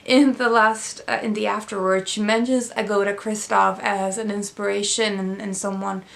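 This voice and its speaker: Young woman, Swedish native, relaxed book-vlog chat, hesitant with plenty of fillers. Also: medium pitch, normal speed, creaky. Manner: thoughtful, many fillers and restarts. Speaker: book vlogger. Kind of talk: casual book review chat.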